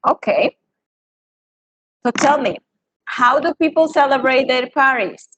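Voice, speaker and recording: A woman's voice: high-pitched, a little slow, a bit bright, breathy edge, faint music behind. A woman teaching an online English class, prompting students in a friendly way. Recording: dull lo-fi online call audio.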